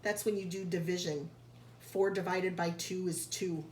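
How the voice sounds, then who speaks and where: A woman reading a picture book aloud to young children like a teacher, pausing to explain and carefully sounding out key words. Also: lowish voice, fairly even intonation, darkish tone. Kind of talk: read-aloud storytelling with explanatory asides. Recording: echoey room, faint background noise.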